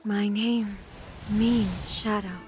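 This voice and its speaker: A woman playing a regal, grieving and unyielding female deity in a video game, speaking in a grave, measured, formal way. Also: mid-range voice, steady tone. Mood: solemn, brooding. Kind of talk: dramatic video game voice acting. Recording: loud music behind.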